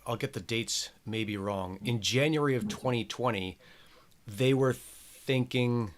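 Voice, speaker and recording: A man's voice: mid-range voice, unhurried. A man hosting a casual podcast chat, rambling and chatty with plenty of filler words. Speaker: show host.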